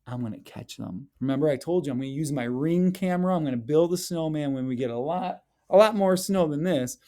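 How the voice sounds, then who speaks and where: Male children's read-aloud voice: soft-edged mellow tone, very expressive melody, playful school-educator delivery with exaggerated questions. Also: high-pitched. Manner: animated, playful, exaggerated questions and exclamations, addressing children directly. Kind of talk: picture-book read-aloud for young children.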